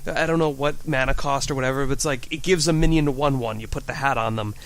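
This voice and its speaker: A man's voice: medium pitch, fast. A man taking part in a casual podcast chat, talking loosely with lots of fillers. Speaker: podcast guest.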